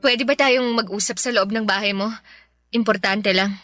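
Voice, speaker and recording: A woman's voice: mid-range voice, moderate pace, a bit bright, no room sound, studio quality, soft background music, lightly acted. A woman playing a clingy, earnest young woman pleading with her ex in a Filipino radio drama, sometimes hesitant. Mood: tentative.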